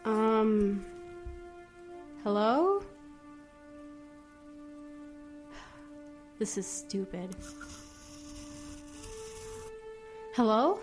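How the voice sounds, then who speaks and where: A woman's voice: mid-range voice, very animated, slow pace, music in the background. A woman playing a whiny, sulky fifteen-year-old schoolgirl in an audio drama. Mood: curious, muttering.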